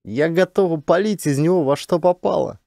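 A man acts out a woman military commander in a science fiction audiobook. She is wary and on edge, her voice lifted well up in pitch. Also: moderate pace, vocal fry, mellow, no room sound, studio quality.

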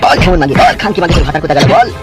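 High-pitched, fast, vengeful man-voiced cartoon thug. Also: over loud music, lightly acted. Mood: furious, threatening. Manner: menacing, abusive, drawn-out threats. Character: vengeful, foul-mouthed older brother, a threatening politician thug. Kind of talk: comedy cartoon dubbing.

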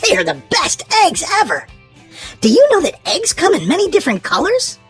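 A woman reads a children's storybook aloud in an expressive, sing-song way, leaning on key words for young listeners. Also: sits high, fairly quick, soft background music.

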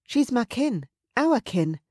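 A protective woman as acted by an audiobook narrator, her voice lifted high. She speaks earnestly in old-fashioned dialect, like a mother in a historical romance, recorded close and dry. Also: lively intonation, moderate pace, warm tone, dry recording, lightly acted. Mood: alarmed, defiant. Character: anxious Scottish Highland woman, protective mother.

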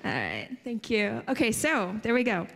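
A woman's voice: on the high side, huge pitch swings, moderate pace, vocal fry, fairly bright tone, a little hiss or hum. A young woman from Hawaii, a guest speaker, talks casually and with a friendly, giggly manner while seated on a theater stage with a handheld mic. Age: young adult. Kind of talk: casual talk to an audience. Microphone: handheld microphone. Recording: long hall reverb from a theater.

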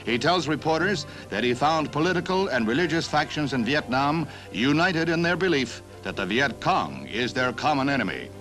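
A man's voice: on the high side, lively intonation, moderate pace, few pauses, fairly bright tone. A man with an American accent reading a formal, scripted 1960s newsreel narration in a declarative announcer style. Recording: 1960s newsreel soundtrack with music. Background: newsreel music.

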